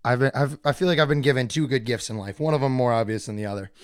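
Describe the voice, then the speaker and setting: A man hosting a casual live solo podcast, hoarse today and rambling with many fillers and restarts. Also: mid-range voice, fast, dry recording, clean studio sound. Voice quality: gravelly, usually hoarse. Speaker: podcast host.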